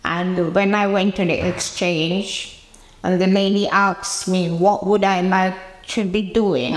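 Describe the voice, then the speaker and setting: Elderly Jamaican woman in a roomy, echoing space. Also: medium pitch. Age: 80s. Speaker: interviewee recalling her past. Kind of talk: personal reminiscence to camera. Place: indoor room with bare walls, seated interview. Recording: roomy, echoing indoor interview.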